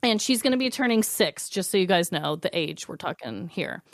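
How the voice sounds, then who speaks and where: A woman's voice: lowish voice, expressive, moderate pace, no room sound, studio quality. A woman in her thirties hosting a podcast, telling stories casually and candidly for laughs. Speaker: podcast host. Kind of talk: casual comedic storytelling.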